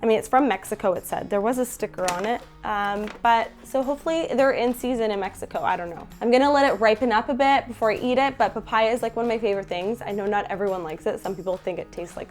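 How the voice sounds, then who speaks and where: A young Canadian woman with a stuffy, nasal voice from a head cold, chatting casually in her bedroom about groceries. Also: mid-range voice. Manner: chatty, upbeat, many fillers. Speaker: food vlogger. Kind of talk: casual haul video chat. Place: bedroom at home.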